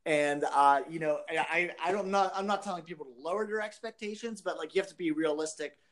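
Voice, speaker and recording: A man's voice: a little high, quick pace, a bit bright. A man co-hosting a podcast in a casual style, explaining his opinions informally. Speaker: podcast co-host.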